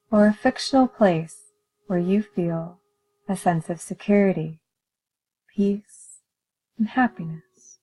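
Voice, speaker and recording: A woman leading a guided meditation in a calm, soothing, measured manner with gentle pauses. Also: on the low side.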